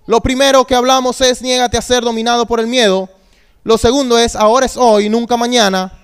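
A man's voice: extremely high pitch, little pitch movement, fairly quick, clean tone. A man in his thirties, a youth pastor, preaching a sermon with call-and-response and emphatic repetition. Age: 30s.

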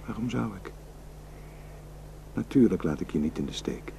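A man's voice: sits low, animated, moderate pace, vocal fry, dark tone, a little hiss or hum. An actor in a radio drama playing a protective, suspicious, hot-tempered village herdsman and husband, scornful and impulsive. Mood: calm, reassuring.

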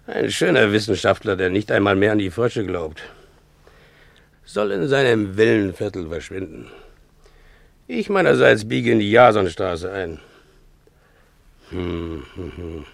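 An actor playing a simple, gullible, boastful donkey driver in a German radio play, muttering and exclaiming haltingly. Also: male voice, on the low side, big pitch swings, vocal fry, no echo, full character voice. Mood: scornful. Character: simple, tipsy-prone male donkey driver, gullible, self-pitying, boastful.

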